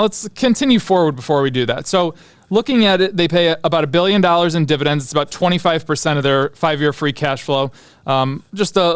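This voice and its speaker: A man with a slightly high, very clean and smooth voice hosts a stock breakdown. He talks informally and unscripted, reasoning out loud and muttering asides to himself. The sound is dry and close. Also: no room sound. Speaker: host of a stock analysis show. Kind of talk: casual unscripted stock commentary.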